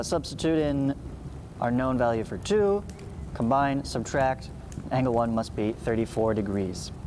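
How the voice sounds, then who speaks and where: Male math teacher over clear background noise. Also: mid-range voice, a little mellow. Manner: informal, explanatory, checks in with "right?" and "okay?". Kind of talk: read-along geometry lesson with explanatory asides. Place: small indoor room, seated before a green screen.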